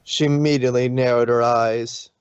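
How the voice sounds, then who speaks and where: A man's voice: mid-range voice, steady tone, moderate pace, smooth, dry recording, lo-fi sound. A man steadily narrating a fanfiction reading. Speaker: reader.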